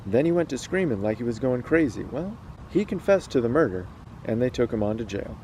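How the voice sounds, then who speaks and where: A man's voice: mid-range voice, very animated, a little fast, warm tone, slight background noise, rough recording. A man hosting a casual read-aloud, moving between storytelling and chat. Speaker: host reading stories aloud.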